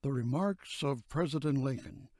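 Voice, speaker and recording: A man's voice: medium pitch, normal speed, mellow, no echo, clean studio sound, lightly acted. A man playing a formal, proud figure making official pronouncements in a radio play, declamatory in manner.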